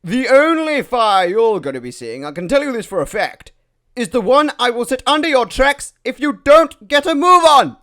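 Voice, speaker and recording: A man's voice: very high voice, big pitch swings, normal speed, fairly bright tone, voice pushed up, exaggerated voice. A man voicing a cocky, streetwise tugboat in a children's show. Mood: threatening.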